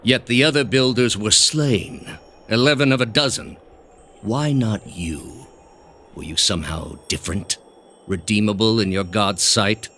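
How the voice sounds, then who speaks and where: A cold pompous cult grandmaster in a game, really low forceful voice, some noise behind. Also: male voice. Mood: scornful, imperious. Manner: pompous, deliberate, condescending. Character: cold, imperious male grandmaster of a zealous ancient order. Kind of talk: dramatic video game dialogue.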